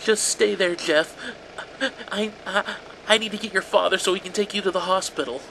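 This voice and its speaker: A man's voice: very high-pitched, lively intonation, unhurried, background noise, pitched above the performer's own voice, full character voice. A man doing a tearful, pleading mother. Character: terrified mother, pleading and sobbing.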